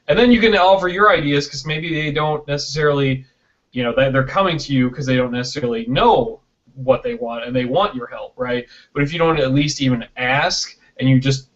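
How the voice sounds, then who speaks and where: A man being interviewed on a casual video call, explaining things conversationally and often checking in with "right?", recorded through a built-in webcam mic. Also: mid-range voice. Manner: explanatory, often tags sentences with "right?" and "you know". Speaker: interview guest, video producer. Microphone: built-in webcam microphone on video call. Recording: dull lo-fi web video call.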